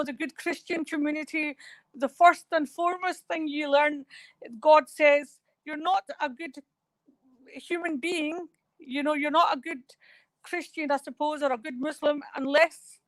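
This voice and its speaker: A woman's voice: high-pitched, no room sound, poor audio. A woman talking as a guest in a remote radio interview, rambling and hesitant with many fillers. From Scotland.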